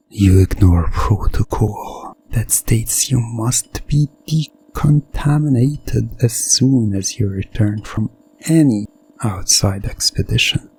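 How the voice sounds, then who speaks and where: A man narrating a hypnosis ASMR story in the second person, in a deliberate, suspenseful way. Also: mid-range voice, darkish tone, breathy edge.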